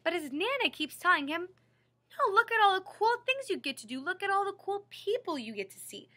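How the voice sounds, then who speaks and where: Lady teacher doing a kids read-aloud, very up and down, bright voice, explains stuff n asks questions. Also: very high voice, sing-song, brisk. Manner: explanatory, prompting young listeners with questions. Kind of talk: expressive picture book read-aloud for children.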